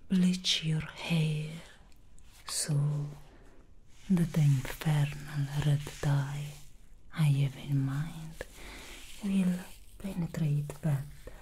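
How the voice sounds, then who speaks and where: Woman doing a slinky demon hair stylist, breathy whisper, super slow and low, hums a lot. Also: mellow, slight background noise. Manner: slow, teasing, hums between lines. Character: alluring female demon hairstylist, sly and soothing. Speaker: ASMR roleplayer. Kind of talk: whispered ASMR roleplay. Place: small dressed studio set indoors. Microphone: close sensitive microphone.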